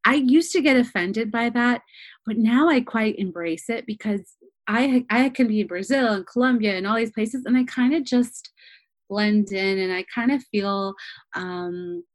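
Animated woman, dry close sound. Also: medium pitch, dry recording. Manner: animated, restarts sentences, many fillers. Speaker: travel journalist guest. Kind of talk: casual podcast conversation.

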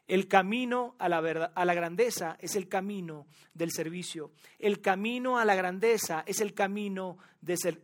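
A man from Venezuela with a Venezuelan accent, preaching a sermon to a congregation, talking to them directly and repeating his key phrases for emphasis. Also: fairly high pitch. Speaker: pastor.

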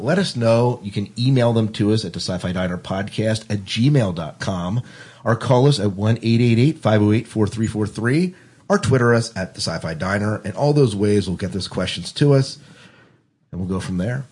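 A man's voice: on the low side, normal speed, darkish tone, husky. A man hosting a podcast in a casual, informal, chatty way. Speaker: podcast host.

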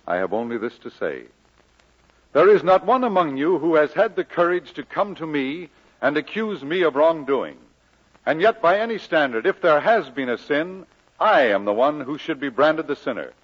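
A man acting an embittered preacher in an old radio drama, solemn and oratorical as he rebukes his congregation. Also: on the high side. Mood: solemn reproach. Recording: dull old 1940s radio recording.

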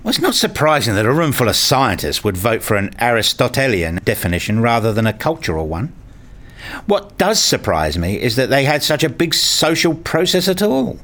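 A man's voice: medium pitch, big pitch swings, normal speed, fairly bright tone. An actor playing a curious, wry young male academic with articulate, bantering delivery in a radio play. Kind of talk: radio play dialogue and first-person narration.

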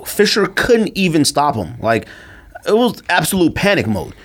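A man's voice: a little high, sing-song, moderate pace, a bit bright. A man co-hosting a casual sports podcast, pushing his opinions emphatically and repeating himself for effect.

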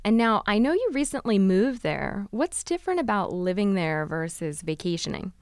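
A woman's voice: mid-range voice, big pitch swings, moderate pace, clean tone, no room sound. Woman podcast host, dry close sound. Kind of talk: scripted introduction and friendly interview.

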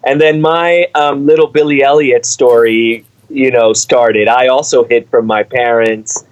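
A man's voice: medium pitch, measured pace, low-quality recording. Middle-aged man telling his story. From Cuba. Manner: warm storytelling, animated, with frequent fillers. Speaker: artistic director of a dance company. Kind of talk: conversational interview answers.

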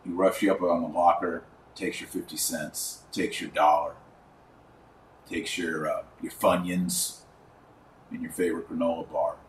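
A man hosting a podcast, giving live commentary and reading aloud in an emphatic, colloquial manner with dramatic pauses. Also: low voice, fairly even intonation. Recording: roomy, distant mic, faint background noise.

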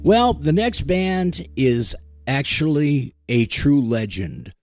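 A man hosting a music podcast in a casual, deadpan way, slipping in dry jokes and wry asides. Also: on the high side, takes it slowly, dry recording. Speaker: podcast host.

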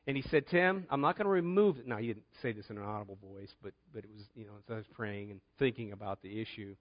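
A man's voice: on the high side, muffled recording. A middle-aged man preaching a conversational sermon, telling a personal story in an earnest way and often repeating words as he starts a phrase.

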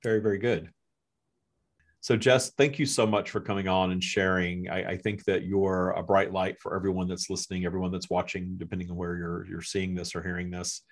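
A man hosting a podcast, talking with a guest in a relaxed, halting way. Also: low-pitched. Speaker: podcast host. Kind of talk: casual conversation.

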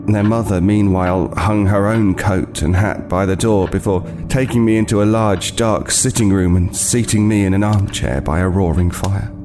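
A man's voice: lowish voice, steady tone, noisy background, lightly acted. An actor playing a weary, wary homeless man, hesitantly telling an unsettling tale in an audio play. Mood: uneasy, ominous. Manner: hesitant, halting, haunted, with repeated words. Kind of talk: dramatic first-person storytelling in an audio play.

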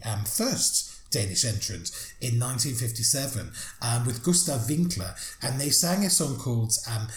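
A man co-hosting a casual podcast chat, chatty, laughing often and stumbling over foreign names. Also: mid-range voice. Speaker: podcast co-host. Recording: roomy echo.

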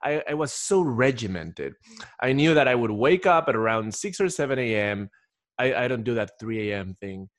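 A man's voice: mid-range voice, expressive, normal speed, dry recording, studio quality. A man from Ecuador talking casually as a podcast guest, telling stories in a rambling way with repeated restarts. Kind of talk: casual conversational storytelling.

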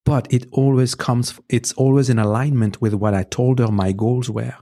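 A man with a French accent, whose first language is French, earnestly giving relationship advice as a guest in a conversational interview. He hesitates often, restarting phrases and filling pauses with "um" as he works through his points. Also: medium pitch, fairly even intonation, mellow. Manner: earnest and advising, with frequent fillers and restarts. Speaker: podcast guest.

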